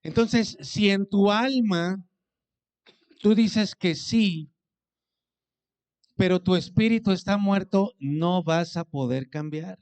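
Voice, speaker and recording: A man's voice: sits high, slow, long pauses, smooth. A man preaching a sermon as pastor, speaking conversationally, asking his congregation questions and acting out what people say to him.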